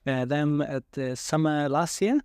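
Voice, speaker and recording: A man's voice: fairly high pitch, a little slow, darkish tone, smooth, no room sound, studio quality. A man in his thirties from Sweden, answering interview questions casually with many fillers and restarts. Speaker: venture capital guest.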